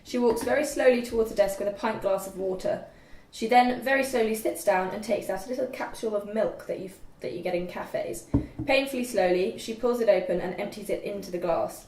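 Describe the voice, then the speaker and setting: A woman's voice: mid-range voice, fairly quick, darkish tone, recorded from a distance. Young woman narrator for script read-through, scripted delivery, echoey room, faint background noise. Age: young adult. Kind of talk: scripted narration, reading screenplay directions aloud. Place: room at a group table read.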